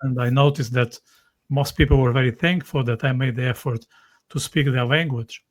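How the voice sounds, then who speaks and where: A man's voice: medium pitch, steady tone, moderate pace. A man from Portugal, hosting a podcast, speaking hesitantly and repeating words. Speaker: podcast host. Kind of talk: casual podcast chat.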